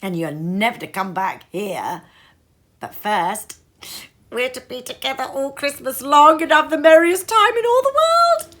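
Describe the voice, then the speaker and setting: An excitable little girl from a storybook, high-pitched and swooping wildly. She is gleeful and loving, gushing eagerly to her big brother. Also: unhurried, exaggerated voice. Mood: excited, eager. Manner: breathless, gushing, eager delivery.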